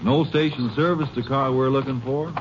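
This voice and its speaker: An actor playing an easygoing ranger sidekick in a 1950s radio drama, in a dull, noisy old recording. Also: male voice, mid-range voice, steady tone, moderate pace, natural voice. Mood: casual.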